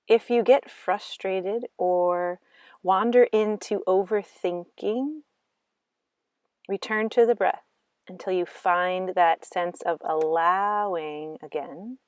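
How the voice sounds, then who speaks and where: A woman with a slightly low voice leads a guided meditation. She gives slow, gentle instructions and leaves long pauses between phrases. The sound is close and very dry, with no room echo.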